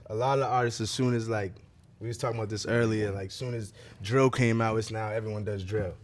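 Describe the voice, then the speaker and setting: A man's voice: fairly low pitch, fairly even intonation, brisk. Laid-back young area man. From Cambridge, Massachusetts. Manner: laid-back, streetwise, joking. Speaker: musician guest. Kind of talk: casual podcast interview.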